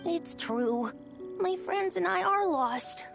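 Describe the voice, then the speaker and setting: A woman's voice: extremely high pitch, expressive, slow pace, creaky, faint music behind, full character voice. A woman voicing an innocent, chirpy little storybook dragon boy in a video game. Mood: earnest. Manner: chirpy, polite. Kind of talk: animated video game voice acting.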